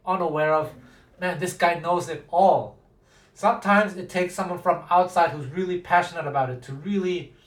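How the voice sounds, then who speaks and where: A man's voice: a little high, a bit bright. Man, jazz guitar teacher, first language Taiwanese, rambling casual talk, echoey room, mic at a distance. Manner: rambling, thinking aloud with many fillers and restarts.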